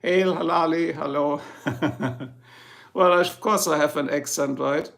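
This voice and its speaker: Gravelly German-accented man, chatty streamer. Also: on the high side, steady tone, slow pace, fairly bright tone. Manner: chatty, answers viewers one by one, chuckles often. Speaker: livestream host. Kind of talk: casual livestream chat reading comments.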